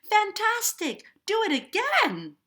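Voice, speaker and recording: Woman as a bold, high-pitched storybook princess. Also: sing-song, a bit bright, exaggerated voice. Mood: excited flattery. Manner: exclaims in high, drawn-out, emphatic bursts. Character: bold, determined young storybook princess, loud and flattering.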